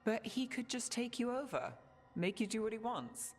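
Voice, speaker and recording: Frank companion in long reverb. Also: female voice, on the high side, sing-song, moderate pace, faint music behind. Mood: sober, doubtful. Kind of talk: video game cutscene acting.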